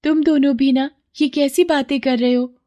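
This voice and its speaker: A woman's voice: high voice, fairly even intonation, moderate pace, mellow, pitched above the performer's own voice, close to an everyday voice. A woman voicing an earnest, affectionate poor young girl in a children's moral story. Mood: fond chiding.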